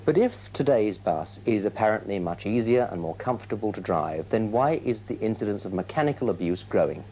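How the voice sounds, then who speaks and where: A man's voice: fairly low pitch, expressive, fairly quick, mellow, a little hiss or hum. A British man presenting a training film, narrating scripted lines and putting articulate questions as an interviewer.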